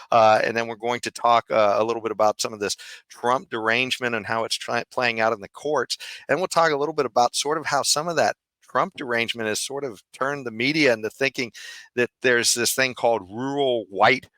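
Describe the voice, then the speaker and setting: Hoarse man hosting a podcast. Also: medium pitch, sharp tone, no echo. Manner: rambling, many fillers and restarts. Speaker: podcast host. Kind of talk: casual panel chat.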